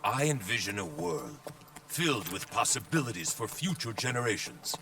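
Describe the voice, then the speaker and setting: A man playing an ambitious, commanding warlord in a video game, speaking in a grand, measured, declamatory way. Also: mid-range voice, expressive, pushed and bright, far from the mic, a little hiss or hum. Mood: solemn, visionary. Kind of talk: dramatic video game voice acting.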